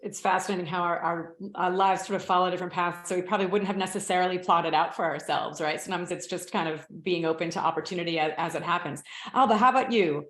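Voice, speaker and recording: A woman moderates a panel in a friendly way, inviting each guest to speak. Her voice sits a little low, and the recording carries a roomy echo. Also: fast talker, hardly any pauses, fairly bright tone. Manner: friendly, hands the floor to guests.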